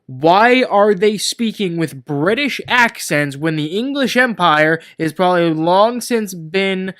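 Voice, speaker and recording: A man's voice: high-pitched, lively intonation, slow pace, a bit bright. A man co-hosting a casual movie-review chat, talking in an emphatic, sweary way. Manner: emphatic, profane ranting. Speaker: podcast co-host reviewing movies.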